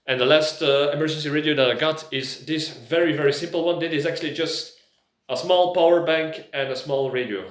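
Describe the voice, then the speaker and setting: Man with higher-pitched voice, echoey room. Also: fairly even intonation, a little fast. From Belgium. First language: not English. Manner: hesitant, searching for words. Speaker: prepper video host. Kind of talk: casual product review talk.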